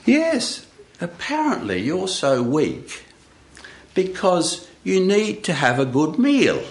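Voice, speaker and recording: A man's voice: high-pitched, wildly swooping pitch, slow, roomy sound, voice pushed up, heavily acted. A man voicing a naive, blithely self-assured foolish traveller in a live storytelling show, speaking emphatically. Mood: cheerful obliviousness. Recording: live radio studio, background noise.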